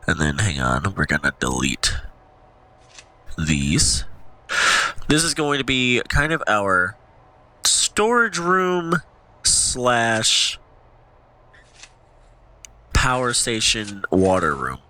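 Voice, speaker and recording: A man's voice: fairly low pitch, sing-song, drawn-out words, long pauses, sharp tone, rough recording. A man with a stuffy nose from allergies, casually commentating his gameplay as a channel host, rambling and thinking aloud.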